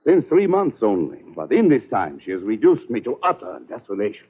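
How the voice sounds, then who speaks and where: A harried, formal doctor, agitated and swooping in pitch, with a slightly rough, husky voice. It sounds like a dull old 1940s radio broadcast. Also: male voice, wildly swooping pitch, brisk, full character voice. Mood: distraught. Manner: overwrought, stiffly formal phrasing.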